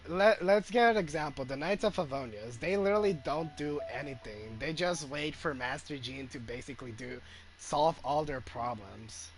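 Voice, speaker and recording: A man's voice: on the high side, lively intonation, moderate pace, music in the background, rough recording. A man giving casual gameplay commentary as a game streamer.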